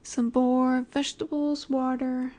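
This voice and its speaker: A woman playing a dominant, nurturing snake-woman monster in an intimate roleplay audio, speaking in a gentle, coaxing way that often sinks toward a whisper. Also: sits high, little pitch movement, measured pace. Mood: calm, instructive.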